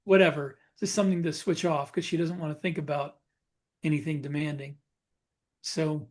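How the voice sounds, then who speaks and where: Man, slightly high voice, podcast host, conversational, informal, emphatic repetition. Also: darkish tone.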